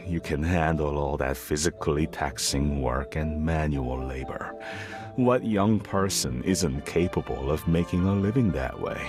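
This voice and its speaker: Slow, deep-voiced worried father. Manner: hesitant, earnest, weary. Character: worried, stubborn middle-aged tea merchant father. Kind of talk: scripted video game dialogue.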